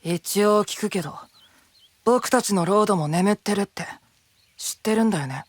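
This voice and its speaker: A man playing a guarded, sharp-tongued young knight in an anime-style voice drama, speaking bluntly. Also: sits high, normal speed, dry recording. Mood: cool, guarded. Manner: blunt, accusing. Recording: music under the voice.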